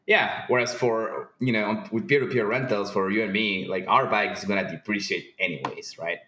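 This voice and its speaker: A man from Peru speaking casually as an interview guest, informal and halting, repeating words as he explains. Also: fairly low pitch, bright, forceful tone. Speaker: podcast guest, company founder. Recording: roomy, echoing sound.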